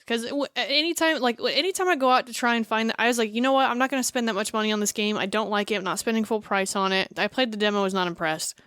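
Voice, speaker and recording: A woman co-hosting a casual podcast chat, talking in quick asides and laughing often. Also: a little high, a bit bright, clear, resonant tone, dry recording. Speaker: podcast co-host.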